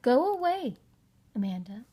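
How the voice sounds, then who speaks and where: A woman's voice: on the high side, big pitch swings, unhurried, warm tone, husky, heavily acted. A woman voicing an opinionated young boy from a children's storybook, speaking in an emphatic, childlike way. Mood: annoyed.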